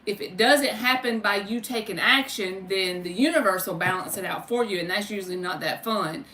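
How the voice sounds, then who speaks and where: Female tarot livestreamer, informal and chatty, sharp bright tone, echoey room with faint noise. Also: medium pitch, moderate pace. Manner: informal, chatty, addresses viewers by name, mild swearing. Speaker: tarot card reader and livestream host. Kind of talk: casual livestream chat with spiritual tarot readings. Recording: roomy, distant mic with faint noise.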